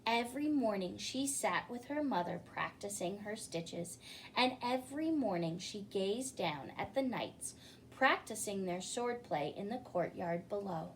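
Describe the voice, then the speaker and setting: A woman reading a children's picture book aloud as a story-time host, in an expressive manner. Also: mid-range voice. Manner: expressive, pausing to ask listeners questions. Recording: clearly noisy recording.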